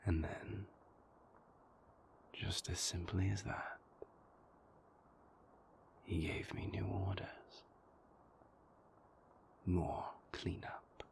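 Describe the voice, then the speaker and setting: Brooding male vampire lover for intimate audio roleplay, acted by a man: very low, breathy and whispered, halting, conflicted, world-weary. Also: flat delivery, full character voice. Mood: somber, measured. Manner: halting, deliberate, confessional, formal wording.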